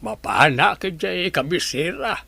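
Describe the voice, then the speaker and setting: An actor playing a chatty old village father with a raspy, creaky voice in a radio drama. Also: high voice, expressive, measured pace, sharp tone, no echo, full character voice. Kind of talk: Ilocano radio drama acting.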